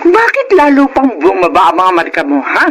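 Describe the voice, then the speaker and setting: A middle-aged Filipino mother in a radio drama. She is anxious and reproachful, with very animated intonation. The recording is clearly noisy. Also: mid-range voice, fairly quick. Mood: scolding.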